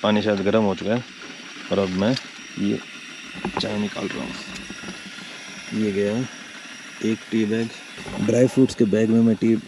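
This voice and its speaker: A man with a lowish voice narrates a camping trip, talking chattily to his viewers as brothers. He speaks from a campsite at night and later from inside a tent. Faint noise sits under the voice. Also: flat delivery, a little slow, long pauses. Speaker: travel vlogger. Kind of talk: casual vlog narration to viewers.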